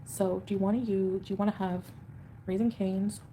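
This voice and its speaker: A woman chats with her viewers on a home livestream, rambling from one point to the next and getting defensive about comments. Her voice is mellow and sits at an ordinary middle pitch. A faint hiss stays low under her talk. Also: flat delivery, moderate pace, rough recording. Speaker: livestream host. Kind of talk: casual livestream chat reading comments.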